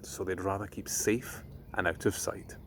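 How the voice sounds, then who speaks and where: A man voicing a gentle, knowledgeable mother who explains things patiently in a storybook. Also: low-pitched, steady tone, normal speed, a little hiss or hum.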